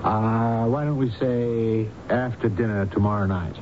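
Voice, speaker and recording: A calm, authoritative male doctor played by an actor in a radio drama, with a lowish voice and measured, reassuring delivery. The recording is dull, with clear noise and rumble behind him. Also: close to an everyday voice. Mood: reassuring, explanatory. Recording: dull, noisy vintage radio broadcast with rumble.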